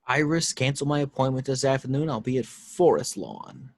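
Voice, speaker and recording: A man's voice: medium pitch, big pitch swings, moderate pace, creaky, dry recording. Hard-boiled male detective for a film table read man acting, wry and clipped, dry recording. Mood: brisk.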